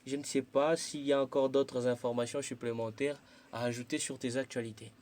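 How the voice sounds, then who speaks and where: A man hosts a radio interview and asks his guest questions in formal broadcast phrasing. His pitch barely moves, which gives him an even, steady delivery at an ordinary register. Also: brisk. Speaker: radio host.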